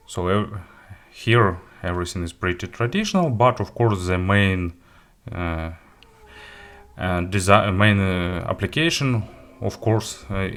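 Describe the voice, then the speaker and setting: A man who speaks English as a second language, hosting a casual, unscripted product walkthrough in a halting way, correcting himself as he goes. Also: low-pitched, a bit bright. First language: not English. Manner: halting, hesitant delivery with self-corrections and listed items. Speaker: tech reviewer and host.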